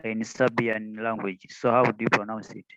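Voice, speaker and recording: Guy asking a question on a muffled noisy call, flat monotone, kinda hesitant. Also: on the low side, normal speed. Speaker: student. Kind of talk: question in class.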